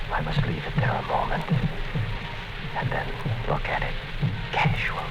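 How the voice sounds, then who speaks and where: An actor narrating as a fearful, secretive male office worker writing a diary, brooding in a 1950s radio drama. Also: very deep voice. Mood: fearful whisper. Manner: tense, brooding inner monologue. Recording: dull-sounding 1950s radio broadcast with music.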